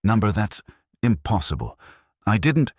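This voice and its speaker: A man voicing a nervous, evasive female office worker in a detective audiobook, hesitant and halting. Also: lowish voice, very animated, breathy edge, no room sound, clean studio sound, voice pushed up, full character voice. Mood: nervous, defensive.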